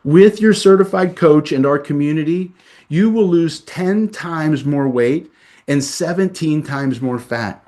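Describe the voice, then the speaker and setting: A man, a doctor, delivering a scripted promotional presentation in a measured, emphatic reading style. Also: fairly high pitch, mellow. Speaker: doctor presenting a health program.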